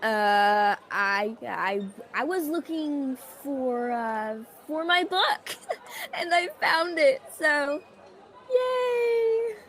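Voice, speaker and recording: Casting: young-sounding woman as a whiny schoolkid, high voice, easily flustered, unhurried delivery, radio drama. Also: expressive, fairly bright tone, full character voice. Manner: whiny, exasperated, stammers when flustered. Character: whiny, exasperated schoolkid Charlie. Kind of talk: student radio theatre acting.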